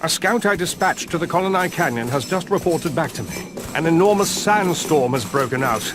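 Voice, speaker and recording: An actor playing a grave fantasy war commander in a video game, speaking in a measured, formal and earnest way. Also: male voice, a little high, expressive, lightly acted. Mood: grave, resolute. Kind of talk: dramatic video game cutscene acting. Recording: noisy game cutscene audio.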